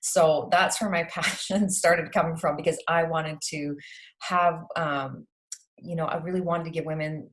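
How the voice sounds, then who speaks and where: Low-voiced chatty woman in her late forties. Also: fairly even intonation, measured pace. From Canada. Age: late 40s. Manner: chatty, with many "you know" fillers. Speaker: health and nutrition coach. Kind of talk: guest in a podcast interview.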